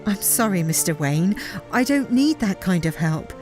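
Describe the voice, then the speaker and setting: A woman playing an anguished, fragile middle-aged mother in an audio drama, halting and emotional. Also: on the low side, animated, darkish tone, faint music behind, close to an everyday voice. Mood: fragile, defensive.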